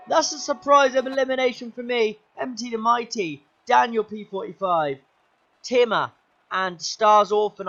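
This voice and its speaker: A young man commentating his own sim race, his voice going hoarse, excitable and shouting at the big moments. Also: high voice. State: losing his voice. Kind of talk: gaming commentary.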